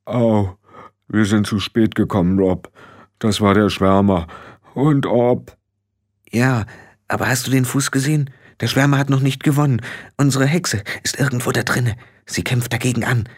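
A man reading a story, voicing a brash, quick-talking tiny male fairy. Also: mid-range voice, steady tone, natural voice. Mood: urgent.

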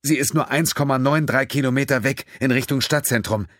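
A man's voice: mid-range voice, fairly quick, fairly bright tone, no echo, clean studio sound, pitched above the performer's own voice, natural voice. A man voicing a desperate, injured male father who pleads urgently for help. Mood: pleading.

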